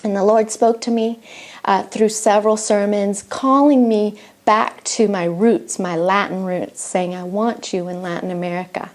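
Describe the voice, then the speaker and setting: A woman's voice: mid-range voice, fairly even intonation, unhurried, darkish tone. A middle-aged woman from Argentina, a native Spanish speaker, telling her personal faith story in an earnest, reflective way with some restarts. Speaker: missionary. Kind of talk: personal testimony.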